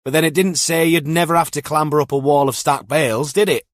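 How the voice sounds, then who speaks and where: A man's voice: fairly high pitch, steady tone, brisk, dry recording, studio quality, pitched above the performer's own voice, close to an everyday voice. A man voicing a cautious, dryly wry, commanding female detective inspector in an audiobook. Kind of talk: audiobook character dialogue.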